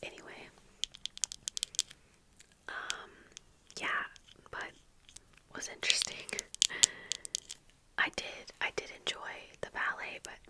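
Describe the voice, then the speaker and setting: A woman in her thirties speaking in a breathy whisper, chatting casually and haltingly in ASMR style close to the mic. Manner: casual, halting, meandering storytelling. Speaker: ASMR video creator. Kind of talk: whispered ASMR get-ready-with-me chat. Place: small indoor room with bookshelves. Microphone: close furry-covered microphone on desk, earphones in.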